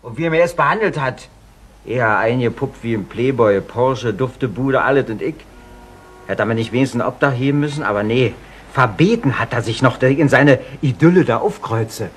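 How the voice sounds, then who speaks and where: Crook, male actor: mid-pitched, defiant, slangy, insolent, old noisy radio crime drama. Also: animated, a little fast, close to an everyday voice. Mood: indignant. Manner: slangy, insolent, self-justifying. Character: coarse, defiant male career criminal under interrogation. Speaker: arrested suspect. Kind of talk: 1970s German radio crime drama acting. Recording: noisy 1970s radio play recording.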